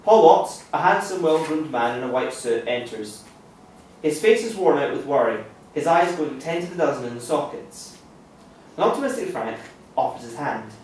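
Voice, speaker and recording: A man's voice: on the high side, expressive, moderate pace, a little mellow. A man narrating stage directions from a script at a table read. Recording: echoey room, distant mic, faint noise.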